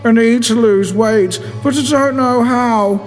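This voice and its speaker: A man's voice: very high-pitched, unhurried, pitched above the performer's own voice. A man voicing a whiny, self-pitying overweight office worker in his twenties for a comic story. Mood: tearful whining. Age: 20s. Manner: whining, plaintive crying. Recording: long reverb, music behind.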